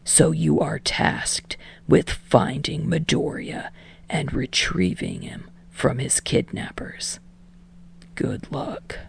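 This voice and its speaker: A woman acts out a tired male teacher in a storybook reading. The voice drops low and breathy, close to a whisper, with a gravelly edge. The manner is dry and deadpan. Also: slow, heavily acted. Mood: dry, sardonic.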